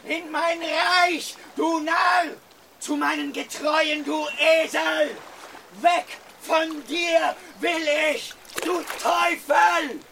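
A man's voice: very high-pitched, fairly even intonation, extremely slow, gravelly, sharp tone, shouting, slight background noise, heavily acted. An actor playing a grandiose, unhinged king in a radio play, with an exalted, declamatory delivery. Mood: raging. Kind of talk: German radio play acting.

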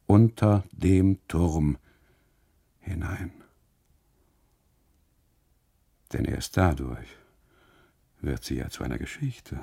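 An actor playing an uneasy husband on holiday in a literary radio monologue, narrating inwardly and haltingly in a gravelly, husky voice. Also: male voice, on the low side, mellow, full character voice. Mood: hushed insistence. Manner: halting, brooding, deliberate phrasing with repetitions and dramatic pauses. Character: uneasy German husband on holiday, obsessively narrating his story.

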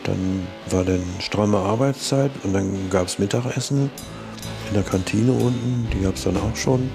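An older man reminisces in an interview with a low, creaky voice. He speaks haltingly and casually, adding little tag questions, with music clearly behind him. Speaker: sound engineer interviewee.

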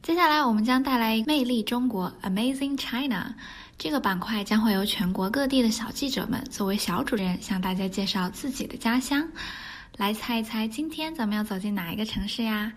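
A woman with a slightly raised pitch hosts a children's broadcast. She speaks in bright, coaxing host patter and poses playful guessing questions to the listeners. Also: a little fast. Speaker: program host.

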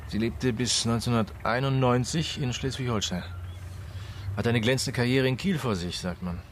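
An actor playing a dry-humoured, probing police inspector in a German radio crime drama, speaking deliberately with musing hums. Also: male voice, mid-range voice, slight background noise, natural voice. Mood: musing, suspicious.

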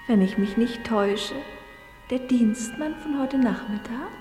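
A woman playing a gracious, devout upper-class lady in an old German radio play, measured and refined, sometimes hushed. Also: fairly high pitch, close to an everyday voice. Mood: gracious, composed. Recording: echoey vintage radio play, long reverb. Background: music.

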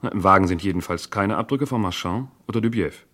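A man's voice: sits low, fast talker, a bit bright. An actor playing a diligent police inspector in a German radio crime drama, reporting to his chief in a precise, matter-of-fact way. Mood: factual, methodical.

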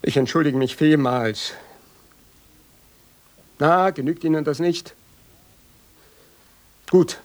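An actor playing a flustered young author in German radio comedy, stammering and exasperated. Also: male voice, on the high side, slow, pauses often. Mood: testy, sarcastic. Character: flustered young male author, sarcastic, protesting, finally meek.

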